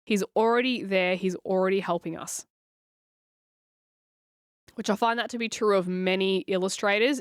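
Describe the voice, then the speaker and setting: Hoarse woman, dry close voice. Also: mid-range voice, no room sound. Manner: chatty, encouraging, reassuring. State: hoarse from a cold, scratchy. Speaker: coloring channel host. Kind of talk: voice-over coloring tutorial.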